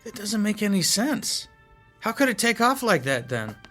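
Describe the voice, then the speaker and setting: Bright, joking young college guy. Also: high-pitched, sing-song, normal speed, faint music behind, heavily acted. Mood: puzzled. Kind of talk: naturalistic radio drama acting.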